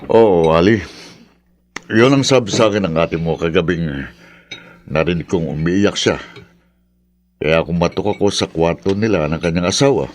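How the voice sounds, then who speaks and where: A worried, low-voiced Filipino father. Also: a little mellow. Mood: concerned. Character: worried older Filipino father, gentle and concerned. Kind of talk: radio drama acting.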